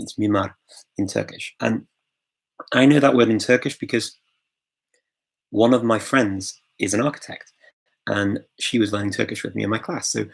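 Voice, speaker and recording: Live-stream host, male, low gravelly voice, relaxed and chatty with lots of ums and little chuckles. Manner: chatty, filler-heavy, chuckles often, greets viewers. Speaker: host of a live language-learning Q&A. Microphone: phone.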